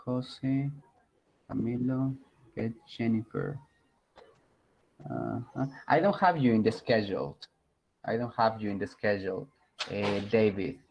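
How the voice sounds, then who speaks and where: A man runs an online English class in an easygoing, encouraging way. His voice comes through slightly muffled call audio. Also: medium pitch. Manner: relaxed, encouraging, switches into Spanish. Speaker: English teacher.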